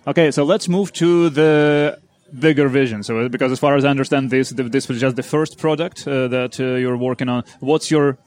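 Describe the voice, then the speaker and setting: A man interviewing a founder, asking questions in a hesitant way with frequent pauses and restarts. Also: mid-range voice, steady tone, darkish tone. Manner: hesitant, many fillers and restarts.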